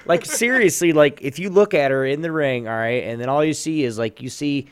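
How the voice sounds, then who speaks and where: A man's voice: on the high side, brisk, clean tone, dry recording. Male podcast co-host, casual and slangy, blunt rambler, very dry recording. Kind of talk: casual chat. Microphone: online voice chat mic.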